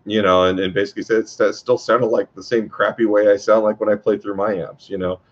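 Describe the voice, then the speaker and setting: A man teaching guitar in a casual workshop talk, with a low voice and a rambling way of speaking, full of fillers and restarts. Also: level tone, quick pace. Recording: dull lo-fi sound.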